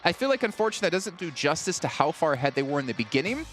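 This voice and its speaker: Lively fast-talking male esports host. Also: on the high side, soft background music. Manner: lively, jokey, quick delivery. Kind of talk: esports analyst desk banter.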